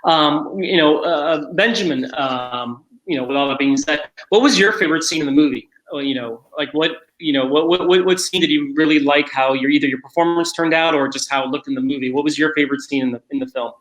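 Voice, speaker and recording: A man's voice: a little high, a little fast, few pauses, a bit bright. Rambling man in an echoey room. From Miami area, Cuban American upbringing. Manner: rambling, repeats "you know". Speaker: film festival director moderating a Q&A. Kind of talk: casual interview moderating. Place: indoor room, virtual Q&A.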